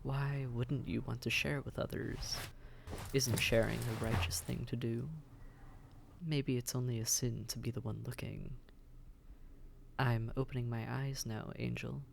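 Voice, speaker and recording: A man playing a suave, teasing demon prince of Hell in an intimate audio roleplay, with a gravelly, unhurried, coaxing delivery that often drops toward a whisper. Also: medium pitch, fairly even intonation, slight background noise. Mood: wry, gentle.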